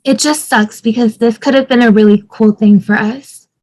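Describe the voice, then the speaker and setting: A woman's voice: fairly high pitch, fairly even intonation, normal speed, darkish tone, lightly acted. A woman in an amateur table read playing an exasperated, teasing young wife. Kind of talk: amateur table read acting over video call.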